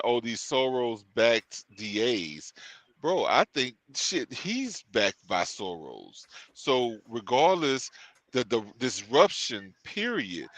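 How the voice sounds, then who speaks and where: A man's voice: medium pitch, pushed and bright, dry recording, poor audio. A man co-hosting a casual talk show, rambling with many fillers and restarts as he works out his view. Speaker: podcast co-host. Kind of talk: casual discussion.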